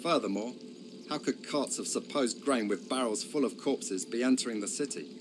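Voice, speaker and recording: A man's voice: medium pitch, normal speed, background noise, lightly acted. A man voicing a blunt, accusing old counselor. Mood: worried. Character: old male royal counselor, sharp-tongued and worried.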